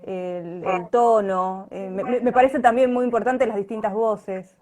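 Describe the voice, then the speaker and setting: A woman's voice: medium pitch, fairly even intonation, a little slow, darkish tone. An Argentine woman, an actress being interviewed, talking casually and tentatively, often checking for agreement with little tag questions. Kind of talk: casual live conversation.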